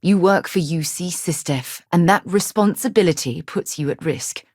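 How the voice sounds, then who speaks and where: Self-assured female space explorer for a sci-fi game, played by an actress: low register, earnest yet wry, articulate, ex-military poise, dry studio sound. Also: normal speed, a little mellow, no room sound, natural voice. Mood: earnest, composed. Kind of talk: video game character dialogue.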